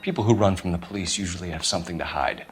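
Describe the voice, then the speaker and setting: A man's voice: low voice, racing, slight background noise, close to an everyday voice. An American man voicing a stern, accusing young police detective in a crime drama video game, clipped in his questioning. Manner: clipped, forceful interrogator.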